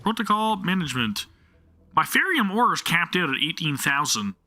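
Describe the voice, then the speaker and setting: A man's voice: on the high side, big pitch swings, moderate pace, pushed and bright, slight background noise. A man live-streaming a game, talking to viewers and thinking aloud in a casual way, often questioning himself.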